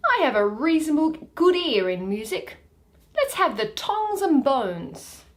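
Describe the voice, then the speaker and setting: A woman's voice: high-pitched, big pitch swings, unhurried, pitched above the performer's own voice. Woman playing pompous donkey-headed man, swooping delivery. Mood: hungry, drowsy. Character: Bottom, bumbling self-important man, part donkey, hungry and sleepy.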